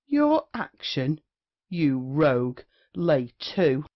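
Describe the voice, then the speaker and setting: A man's voice: a little high, very animated, extremely slow, exaggerated voice. Brazen mocking rake played by a man, muffled recording. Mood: insolent. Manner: declamatory, mocking. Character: brazen debt-dodging Restoration rake, witty and insolent. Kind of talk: theatrical period comedy acting.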